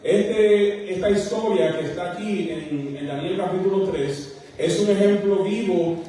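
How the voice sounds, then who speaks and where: A man with a Dominican accent delivers a sermon in a high, mellow voice. He speaks emphatically but often stops to correct himself. The sound is heavily echoing, with the long reverb of a large hall. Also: unhurried. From Dominican Republic, partly raised in Queens, New York. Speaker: pastor, preacher. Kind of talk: church sermon. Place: church sanctuary, speaking to congregation. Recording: very echoey large hall, distant microphone.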